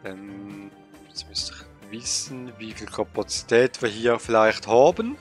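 Slow-talking man with a cold. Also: lowish voice, soft background music. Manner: slow, hesitant, thinking aloud with fillers. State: has a cold. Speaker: let's play gamer. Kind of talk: casual gameplay commentary.

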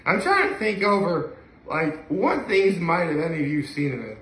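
A young man with a bright, fairly high voice chats casually to a camera a few feet away. He gushes and repeats his phrases for emphasis. The living room around him adds a clear echo. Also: very animated, moderate pace. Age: young adult. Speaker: video blogger. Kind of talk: casual solo chat to camera. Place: living room at home, indoors. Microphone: camera or phone a few feet away.

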